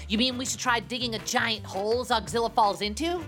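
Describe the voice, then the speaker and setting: A woman plays a young boy superhero in a cartoon adventure. The voice is high and boyish, with an eager, earnest delivery. There is faint music behind it. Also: fairly quick, fairly bright tone, close to an everyday voice. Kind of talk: acted children's adventure story.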